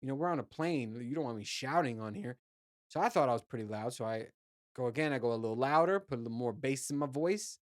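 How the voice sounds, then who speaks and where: A man with a naturally low voice chats casually as a podcast host, rambling through stories with plenty of filler words and restarts. His delivery is relaxed and profane. The sound is dry and close, with almost no room echo. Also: quick pace. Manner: loose, profane storytelling with lots of fillers and restarts. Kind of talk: casual solo chat.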